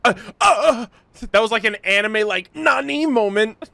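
A man's voice: high-pitched, expressive, unhurried, creaky, pushed and bright. A man in his thirties giving casual, slangy, sweary reaction commentary as a game streamer, close on the mic. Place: small room indoors. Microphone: close microphone.

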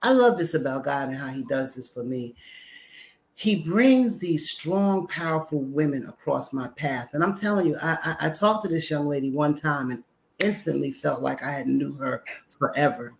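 A woman's voice: deep voice, normal speed, vocal fry, a little hiss or hum, low-quality recording. A woman hosting a talk show, effusive, speaking to her audience and welcoming a guest. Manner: effusive, addresses audience as y'all. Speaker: host.

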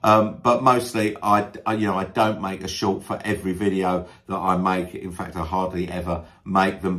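A man talking casually as a video creator, in a self-deprecating, joking manner, often pausing with ums and uhs while he thinks. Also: sits low, fairly bright tone. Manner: hesitant, frequent ums and uhs as thinking time. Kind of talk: casual talk to camera, answering a list of questions.

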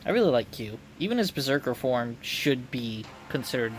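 A man's voice: medium pitch, big pitch swings, moderate pace, a little hiss or hum. A man giving casual video game commentary as he streams. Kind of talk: casual game commentary and reading game dialogue aloud in voices. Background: game music.

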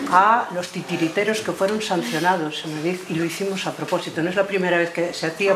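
A woman with a Spanish accent, a teacher giving an emphatic informal thank-you speech. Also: lowish voice. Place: indoor room with a group. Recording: echoey, noisy room.

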